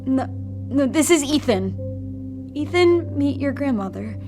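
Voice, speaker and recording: A woman playing an apologetic, tearful young mother in an audio drama, speaking haltingly. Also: high-pitched, animated, over music. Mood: tender. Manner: halting, faltering. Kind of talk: emotional audio drama acting.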